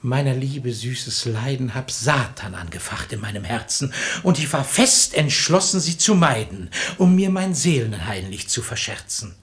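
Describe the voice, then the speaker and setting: A man's voice: medium pitch, animated, moderate pace, hardly any pauses, a bit bright, natural voice. A male actor playing a sanctimonious, lecherous hypocrite in a verse radio play, with an unctuous, declamatory delivery. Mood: fervent wooing. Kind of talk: German radio play acting in rhymed verse.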